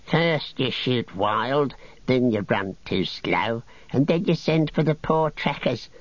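A man's voice: medium pitch, expressive, slow pace, no echo, highs cut off, pitched above the performer's own voice. A man reading a story, voicing a small, quarrelsome male orc tracker who snarls and whines. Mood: taunting. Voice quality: snarling, nasal.

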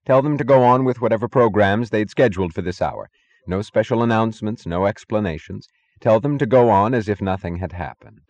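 Audiobook role: irritable male authority figure, commanding and snappish, lively intonation, played by a male reader. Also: on the low side, normal speed, highs cut off. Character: blustering, irritable male boss barking orders.

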